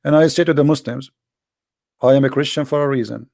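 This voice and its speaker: A man speaks straight to his viewers in a blunt, emphatic livestream monologue, preaching as a daily broadcast host. His voice has a gravelly rasp. The sound is dry and close, with no room echo. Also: mid-range voice, fairly quick, darkish tone. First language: Arabic.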